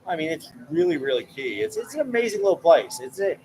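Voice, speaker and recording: A man's voice: on the high side, big pitch swings, fast, darkish tone, a little hiss or hum, poor audio. A man from New York, a military analyst who hosts a channel, talking casually and with opinions in a bar, often repeating words while he thinks. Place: bar, ambient noise.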